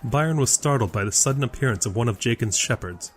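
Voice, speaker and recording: A man's voice: medium pitch, animated, quick pace, noisy background. A man reading scripted narration for a fantasy audio drama.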